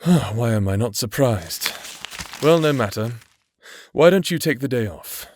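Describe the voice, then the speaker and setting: A man playing a sardonic, world-weary private investigator in a fantasy noir audio drama, with a dry, formal, deadpan delivery. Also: mid-range voice, unhurried, a little hiss or hum, natural voice. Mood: dry, unbothered. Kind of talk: noir audio drama acting with scripted monologue. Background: background music.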